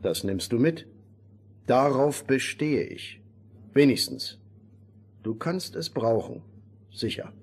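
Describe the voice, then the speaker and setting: Gruff, husky father. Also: medium pitch, expressive, slow, long pauses, exaggerated voice. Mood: reluctant. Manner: gruff, curt. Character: stern, stubborn miller father. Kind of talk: German fairy-tale audio drama acting.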